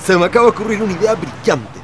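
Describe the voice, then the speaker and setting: A good-natured Chilean father in a radio drama. His voice is fairly high and very animated in pitch, with faint outdoor noise behind. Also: rapid-fire. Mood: reassuring, inventive.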